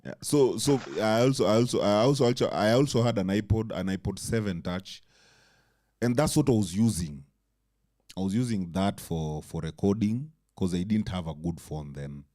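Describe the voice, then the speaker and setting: A man with a big voice, co-hosting a casual podcast, animated and swearing freely. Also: lowish voice, moderate pace. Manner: animated, swears freely, slips into Swahili words. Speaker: podcast co-host.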